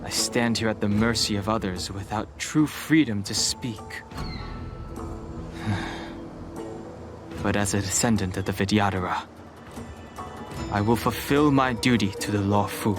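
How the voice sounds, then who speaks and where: A stoic young dragon-blooded man from a video game , acted by a man, reserved and dutiful. His lowish voice moves rather slowly and formally over clearly audible music. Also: lightly acted. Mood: bitter, resolute. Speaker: voice actor in a game cutscene. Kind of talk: dramatic game dialogue.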